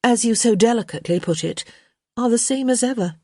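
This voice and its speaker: A woman's voice: on the high side, a little fast, mellow, dry recording, clean studio sound, pitched above the performer's own voice, natural voice. A woman voicing a witty young unmarried lady, arch, teasing and sarcastic, in a story reading. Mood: vexing.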